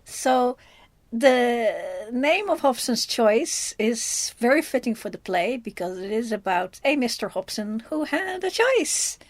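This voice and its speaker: A woman with a Dutch accent giving a casual film review, speaking haltingly as she thinks aloud. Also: on the high side, very animated, no room sound. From Netherlands.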